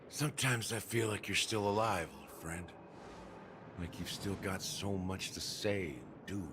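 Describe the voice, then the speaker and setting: Low-voiced, world-weary keeper of secrets. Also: male voice, unhurried, over music. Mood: wistful. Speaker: video game character voice actor. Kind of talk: dramatic game dialogue.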